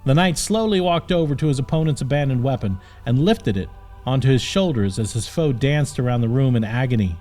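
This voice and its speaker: A man's voice: mid-range voice, animated, fairly quick, darkish tone, faint music behind. A man narrating a scripted comic fantasy audiobook in a dramatic storytelling manner.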